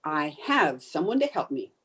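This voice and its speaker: Actress as a scatterbrained, lively radio sitcom housewife. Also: female voice, deep voice, normal speed, slightly rough, full character voice. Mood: blithely confident. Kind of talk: old-time radio comedy acting.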